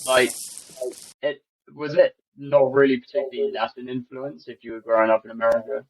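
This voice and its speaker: A man's voice: mid-range voice, flat delivery, a little fast, slight background noise, poor audio. A man hosting a podcast, asking questions casually and with curiosity. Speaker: podcast host.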